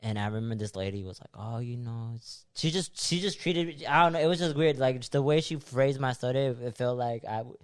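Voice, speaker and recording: A man's voice: medium pitch, brisk, few pauses, clean tone. A young man from Chicago, a stand-up comic, chatting casually on a podcast. He stutters and repeats words as he talks. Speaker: stand-up comedian guest.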